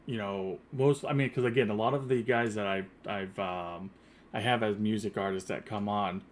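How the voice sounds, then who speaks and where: A man's voice: lowish voice, steady tone, moderate pace, slight background noise. A man hosting a podcast interview, talking casually and rambling with many fillers and restarts. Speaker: podcast host. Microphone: earpiece headset.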